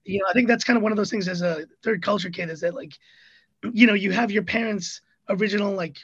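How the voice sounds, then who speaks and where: Guest, thirties, American accent, breathy higher voice, chatty and rambling, jokey asides. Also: male voice, fast, fairly bright tone, dry recording, lo-fi sound. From Hong Kong. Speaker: podcast guest. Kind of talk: casual interview chat.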